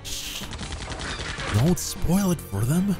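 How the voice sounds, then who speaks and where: A young man streaming a game, chatting casually and joking with viewers, his throat a little hoarse. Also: on the high side, sing-song, drawn-out words. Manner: joking, self-mocking, musing aloud. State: slightly hoarse. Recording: faint game music behind.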